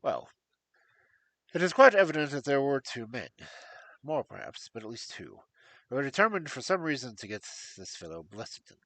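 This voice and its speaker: A man reading a story aloud, voicing a cool, incisive male detective who reasons in a measured, clipped way. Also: medium pitch, sing-song, a bit bright, no room sound, low-quality recording. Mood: analytical. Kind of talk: audiobook character voice.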